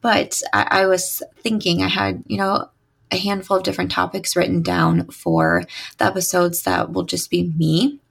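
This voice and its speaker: A young woman hosting her own podcast, chatty and upbeat, with a raspy early-morning voice and lots of "uh" fillers. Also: fairly low pitch, expressive, normal speed. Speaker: podcast host. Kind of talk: casual solo podcast talk.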